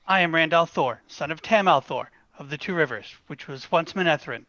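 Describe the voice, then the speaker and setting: A man's voice: fairly high pitch, lively intonation, fairly quick, bright, forceful tone, no room sound, dull audio. A man playing a nervous young shepherd speaking stiffly and formally in a fantasy screenplay table read. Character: nervous young shepherd man summoned before powerful women.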